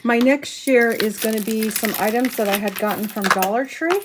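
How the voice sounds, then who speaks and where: A woman talking casually through a craft haul, losing her voice a little and clearing her throat, with frequent ums as she shows each item. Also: fairly high pitch, normal speed, heavy background noise. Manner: chatty, many ums, showing items up close. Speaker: crafting channel host. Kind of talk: casual haul chat to camera.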